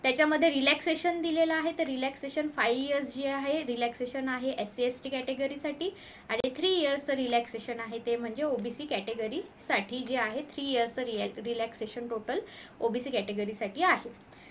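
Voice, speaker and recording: Indian female coaching instructor, high voice, explanatory read-through with mixed-language terms, faint background noise. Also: moderate pace. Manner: code-switches between Marathi and English terms. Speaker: exam coaching teacher. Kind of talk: explanatory lesson, reading notification points aloud.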